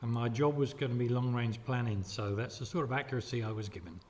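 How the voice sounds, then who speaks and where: An older man from the UK introducing himself with dry humor and filler pauses. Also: mid-range voice, heavy background noise. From United Kingdom. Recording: distant mic with clicks.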